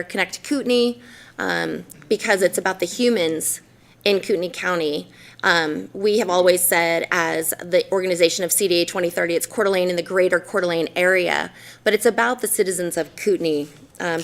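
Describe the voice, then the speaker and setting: A woman in her forties from Idaho giving a formal presentation in a city council chamber, earnest and sprinkled with ums and self-corrections. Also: fairly low pitch. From Idaho, USA.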